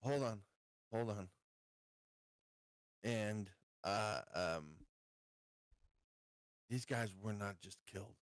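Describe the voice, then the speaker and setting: Slow, halting man with lowish voice. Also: no echo, clean studio sound. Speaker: tabletop roleplaying game player. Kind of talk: in-character improvised explanation.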